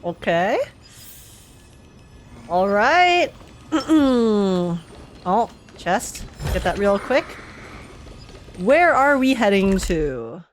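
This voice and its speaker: A woman's voice: medium pitch, big pitch swings, drawn-out words, long pauses, a little hiss or hum. A woman streaming a game with casual, slangy, joking live commentary and the odd swear word. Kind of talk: casual live commentary, reading dialogue aloud. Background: game music.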